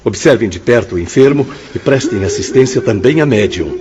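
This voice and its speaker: A man's voice: mid-range voice, animated, fairly quick, lightly acted. An actor playing a composed male spirit doctor in a Brazilian radio drama, formal and authoritative. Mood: calm authority. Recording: slightly dull, music behind.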